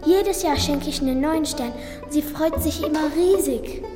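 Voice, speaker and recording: A friendly, eager schoolchild , acted by a woman, chats in a children's radio play. The voice is high and young, sounding in an echoey space with music behind it. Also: normal speed, lightly acted.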